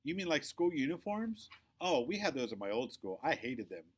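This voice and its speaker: A man voicing an earnest, chatty young schoolgirl in a children's storybook, with an eager, expressive manner. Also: medium pitch, normal speed, breathy edge, pitched above the performer's own voice. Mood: puzzled, eager. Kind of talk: children's storybook read-aloud.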